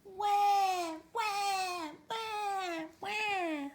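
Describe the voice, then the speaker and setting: A woman's voice: very high-pitched, steady tone, extremely slow, voice pushed up, full character voice. A woman voicing a crying baby girl who wails over and over, for a storybook reading. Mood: wailing. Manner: loud repeated wailing.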